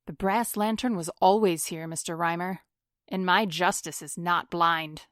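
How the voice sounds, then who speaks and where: A woman's voice: fairly low pitch, steady tone, measured pace, fairly bright tone, no room sound, clean studio sound. A woman acting a cool, commanding, glamorous vigilante in a pulp radio drama, stern and self-assured with clipped delivery. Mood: cool, confrontational.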